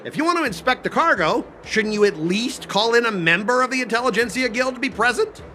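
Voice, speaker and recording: A man's voice: very high-pitched, lively intonation, brisk, pushed and bright, exaggerated voice. A man voicing a stubborn, blustering corporate cargo officer in a video game, indignant, officious and argumentative. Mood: scornful, blustering. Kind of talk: animated video game voice acting.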